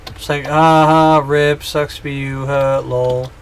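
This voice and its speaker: A man's voice: on the high side, flat delivery, slow, a little hiss or hum. A man in his thirties chatting casually on a gaming livestream, joking and rambling with self-mocking humor. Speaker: streamer.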